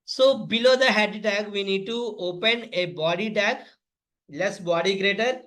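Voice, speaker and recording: A man's voice: high voice, measured pace, fairly bright tone. A man with an Indian accent giving a step-by-step coding lesson as an instructor, in an instructional, repetitive way. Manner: instructional, repetitive phrasing, addresses listeners as friends. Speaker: tutorial instructor.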